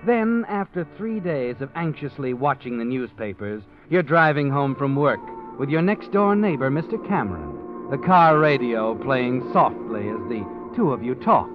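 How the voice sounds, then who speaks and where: An actor playing a grave second-person narrator in an old radio drama, over music, in a muffled recording. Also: male voice, medium pitch, very animated, close to an everyday voice. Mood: ominous. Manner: measured, ominous storyteller addressing the hero. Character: grave male narrator speaking to the hero.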